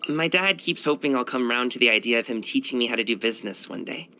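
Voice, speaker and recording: A man's voice: medium pitch, lively intonation, fast talker, sharp tone, a little hiss or hum. A man voicing a brash, cheerful young martial arts dancer and guard in a video game, chatting casually in a slangy, boyish, self-assured way. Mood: frank, frustrated. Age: young adult. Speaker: video game character voice actor. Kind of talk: casual, conversational game voice lines.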